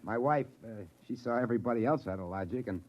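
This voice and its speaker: Mild little shopkeeper dad played by a man, polite, hesitant, apologetic, mid-pitched, in dull vintage radio drama sound. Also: fast, natural voice. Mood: hesitant, curious. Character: meek, polite small-store owner, father of a brat. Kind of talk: 1950s radio drama acting.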